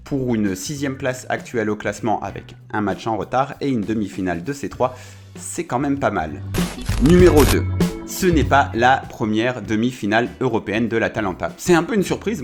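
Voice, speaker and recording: A chatty man talks casually about football in a mid-pitched voice, often pausing on fillers and correcting himself. Faint music plays quietly behind him. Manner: chatty, hesitant with frequent fillers and self-corrections. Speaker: football video host. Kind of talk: casual video commentary.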